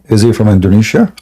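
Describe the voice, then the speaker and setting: A man hosting a live debate stream in a scoffing, jokey way, laughing often and clearing a sore throat. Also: fairly low pitch, dark tone, slight background noise. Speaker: livestream debate host. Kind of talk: mocking live debate chat.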